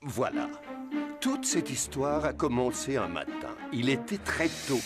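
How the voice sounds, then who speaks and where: Very low-voiced, wise scolding dog. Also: female voice, very animated, loud music behind the voice, heavily acted. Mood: storytelling. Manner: measured, admonishing storyteller. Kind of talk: animated cartoon French dub acting.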